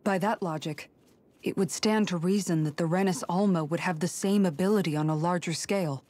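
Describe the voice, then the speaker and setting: A composed, analytical woman from a fantasy game, as an actress plays her, reasons things out articulately. Her pitch is on the low side. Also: brisk, a little mellow, lightly acted. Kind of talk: dramatic video game cutscene acting.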